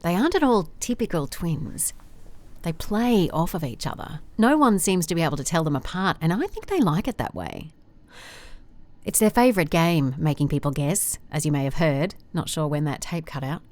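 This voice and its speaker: A woman's voice: sits low, lively intonation, moderate pace, darkish tone. A woman playing a weary private investigator in an audio drama, dictating case notes in a dry, guarded, confiding way. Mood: wry, observant.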